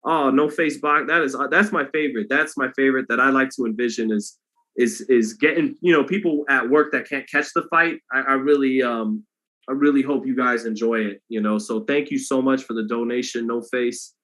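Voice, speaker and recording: Male boxing host on a livestream, mid-pitched, monotone, rambling and casual with the chat. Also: normal speed, low-quality recording. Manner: monotone delivery, self-described; loose and rambling, many restarts.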